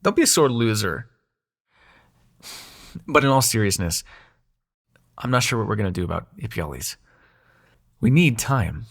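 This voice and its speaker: A man playing a snarky but secretly soft-hearted young demon prince in an intimate audio roleplay, teasing the listener and turning hesitant when showing affection. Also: on the low side, big pitch swings, measured pace, vocal fry, pauses often, husky, exaggerated voice. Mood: teasing, uneasy.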